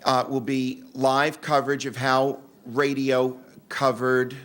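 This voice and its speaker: A man presenting to a convention audience in a relaxed, joking manner with fillers. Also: medium pitch, takes it slowly. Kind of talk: casual talk to an audience. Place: convention room.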